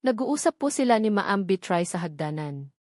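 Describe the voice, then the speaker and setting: A woman's voice: medium pitch, big pitch swings, moderate pace, a little mellow, dry recording, studio quality, close to an everyday voice. A woman with a Filipino accent voicing a frightened, humble elderly nanny in an audiobook, trembling and tearful. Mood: frightened, insistent. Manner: sobbing, trembling.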